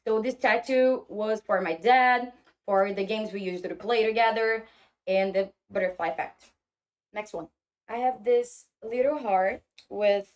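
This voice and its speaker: Woman speaking halting English. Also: medium pitch. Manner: halting, searching for English words. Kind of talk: casual vlog talk.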